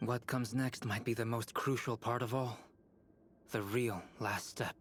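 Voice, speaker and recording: A man playing a calm, serious, thoughtful companion in a video game. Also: mid-range voice, a little slow, a little hiss or hum, natural voice. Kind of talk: video game character dialogue.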